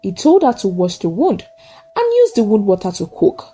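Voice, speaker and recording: A woman narrating a scripted folktale in short, measured, dramatic phrases. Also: mid-range voice, huge pitch swings, dark tone, slightly rough, soft background music. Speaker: storyteller.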